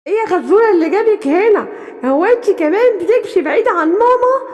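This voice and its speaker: Comforting male forest animal, Egyptian accent, a woman plays him, bright and high, reverb. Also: animated, quick pace. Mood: reassuring. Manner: gentle, comforting. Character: kind young male forest animal, reassuring. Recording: reverberant room.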